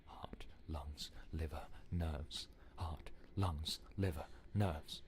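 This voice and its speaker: A man voicing an obsessive inner voice in a game, chanting repetitively in a hushed, breathy whisper. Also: rumbling low, fairly even intonation, very slow, a little hiss or hum, full character voice.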